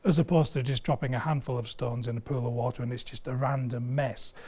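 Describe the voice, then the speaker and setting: A man's voice: medium pitch, fairly even intonation, quick pace, dark tone, slightly rough. A man giving an enthusiastic product explanation in conversation, emphatic and fond of everyday analogies. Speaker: loudspeaker company sales director, guest. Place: video studio.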